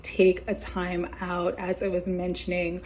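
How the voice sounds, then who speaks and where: A woman doing a weekly tarot card reading, talking gently and meandering with many fillers while she is losing her voice. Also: fairly low pitch, monotone, a little slow.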